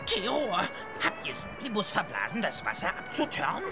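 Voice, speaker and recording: A woman's voice: on the low side, huge pitch swings, normal speed, loud music behind the voice. A woman voicing a cheeky, boastful little magical owl in a children's audio drama, mangling words with made-up pseudo-Latin. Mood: alarmed, puzzled. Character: cheeky, tiny blue magical talking comfort owl.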